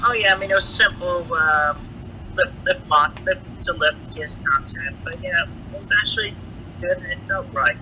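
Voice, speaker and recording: A man speaks in a piercingly bright, tense voice on a muffled phone recording with faint noise. His delivery is halting and stiff, and his wording is oddly formal for a private chat. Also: a little high. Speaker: interviewee on a leaked call.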